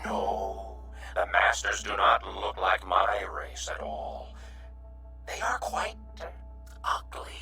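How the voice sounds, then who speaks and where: A man's voice: low-pitched, expressive, takes it slowly, bright, forceful tone, reverberant, faint music behind, heavily acted. A man playing a timid, courteous alien refugee in a radio drama, speaking in stilted, formal, hesitant phrases. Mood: grave.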